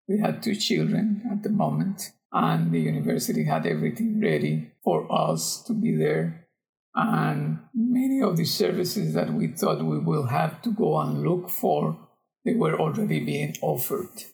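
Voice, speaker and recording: An older man with a Costa Rican accent answers interview questions conversationally, pausing and searching for words. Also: a little high, gravelly, a little mellow, poor audio. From Costa Rica. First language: Spanish. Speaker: geography professor, podcast guest.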